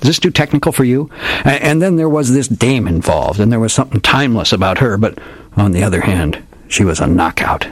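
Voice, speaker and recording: A man's voice: fairly low pitch, lively intonation, fairly quick, slightly rough, no room sound, pitched above the performer's own voice. A man reading a story aloud, voicing an old male hardboiled detective with theatrical tough-guy slang. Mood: smug.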